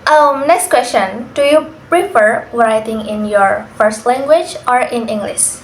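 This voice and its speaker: A young woman whose first language is Indonesian, answering and asking questions in English in a calm, measured way, with frequent "mm" and "uh" fillers. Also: fairly high pitch. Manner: measured, careful, with many "mm" and "uh" fillers. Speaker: student interviewer and interviewee. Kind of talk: casual pair interview in English. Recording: echoey room, faint background noise.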